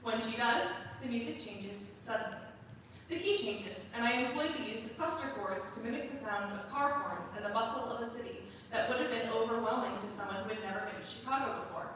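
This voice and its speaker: A young adult woman giving a prepared, measured introduction as a student composer presenting her staged reading. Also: on the high side, fairly bright tone. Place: large performance hall. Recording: very echoey hall, distant mic, faint noise.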